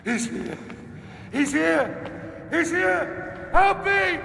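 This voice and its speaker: An actor playing a paranoid, cowardly Roman emperor in a dramatic video game, frantic and imperious. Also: male voice, very high-pitched, big pitch swings, very slow, vocal fry, pushed and bright, roomy sound, a little hiss or hum, full character voice. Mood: panicked.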